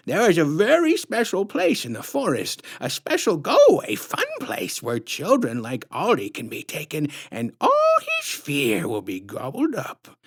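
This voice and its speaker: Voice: medium pitch, creaky, husky, exaggerated voice. A narrator voicing a huge, sinister female clown who talks in sickly sweet baby-talk rhymes. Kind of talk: storybook reading.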